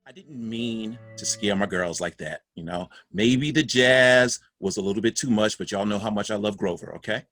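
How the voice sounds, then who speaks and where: A man's voice: on the low side, normal speed, hardly any pauses, a bit bright, no room sound. An actor playing a dead father's ghost, a folksy, doting, guilt-ridden man telling his story in a confiding, emphatic way in a play reading. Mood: rueful, tender. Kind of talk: theatrical monologue in a stage play reading.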